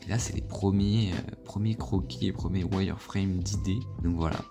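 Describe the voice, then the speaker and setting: A man's voice: sits low, steady tone, moderate pace, over music. A young man vlogging casually about his work week, chatty and loose with fillers and swearing, nursing a head cold. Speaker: vlogger, entrepreneur. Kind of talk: casual vlog chat.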